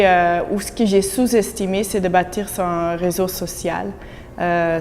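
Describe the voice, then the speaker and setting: A woman's voice: fairly low pitch, a little mellow. A woman from Belgium whose first language is Dutch, speaking French in an interview, earnest and reflective with frequent fillers. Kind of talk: on-camera interview. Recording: echoey room with faint background noise.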